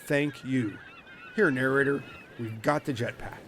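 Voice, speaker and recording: A man's voice: medium pitch, unhurried, fairly bright tone, slight background noise. A man playing a laid-back, grumbling dude of a castaway in a comic audio play. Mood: casual. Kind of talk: comedic audio play acting.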